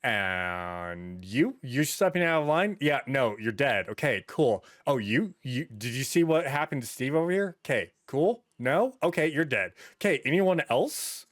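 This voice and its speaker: A man hosting a podcast in casual conversation, animated and given to acting out imagined quotes. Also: mid-range voice, measured pace, hardly any pauses, dry recording, studio quality. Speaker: podcast host.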